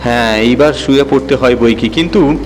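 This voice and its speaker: A man's voice: mid-range voice, normal speed, lightly acted. A man voicing an anxious, impatient householder who is frightened of robbers. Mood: fearful, impatient. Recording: long reverb, background music.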